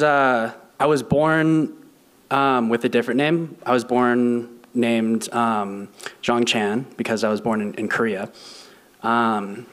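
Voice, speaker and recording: A man in his twenties sharing a personal story at a church lectern, thoughtful and halting with frequent ums and restarts. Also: lowish voice. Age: 20s. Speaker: guest speaker. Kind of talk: personal testimony at a service. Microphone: lectern mic.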